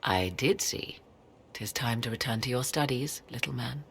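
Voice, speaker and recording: Proud aloof sorceress, creaky voice, archaic formal speech, cryptic, video game drama, faint ambient noise. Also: female voice, a bass voice, normal speed, fairly bright tone, full character voice. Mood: fond, wry. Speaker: sorceress advisor.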